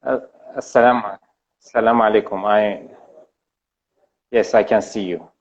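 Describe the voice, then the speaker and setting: A man from Iran with an Iranian accent, a native Persian speaker, chatting casually and haltingly as a guest on a call. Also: on the low side, fairly even intonation. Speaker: interview guest, illustrator. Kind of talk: casual video-call chat. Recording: dull, lo-fi internet call audio.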